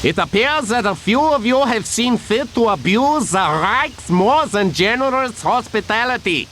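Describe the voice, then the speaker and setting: Haughty German general, high forceful voice, slow threatening commands, game cutscene, faint noise. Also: male voice, animated, heavily acted. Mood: cold menace. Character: haughty menacing German general addressing prisoners. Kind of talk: video game cutscene acting.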